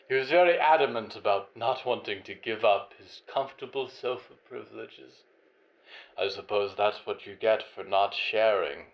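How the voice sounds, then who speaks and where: A man's voice: mid-range voice, lo-fi sound, close to an everyday voice. Man playing a vain, rambling fallen diva. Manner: theatrical, rambling, self-dramatizing.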